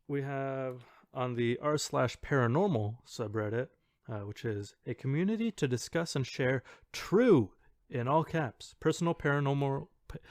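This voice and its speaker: A man's voice: medium pitch, dry recording. Dry-sounding man, closely recorded. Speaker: podcast co-host. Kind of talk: casual chat and reading posts aloud.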